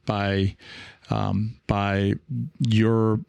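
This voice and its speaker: A man talking casually as a podcast host and coach, reflective and encouraging, halting now and then and often checking in with the listener. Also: on the low side, completely flat delivery, creaky, darkish tone, clean tone, no room sound. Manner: reflective, halting, with frequent rhetorical check-ins.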